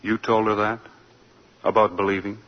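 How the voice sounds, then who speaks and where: A man's voice: lowish voice, little pitch movement, normal speed, close to an everyday voice. An actor in a 1940s radio play voicing an earnest, persuasive young male lawyer, on a dull old broadcast recording. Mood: warm.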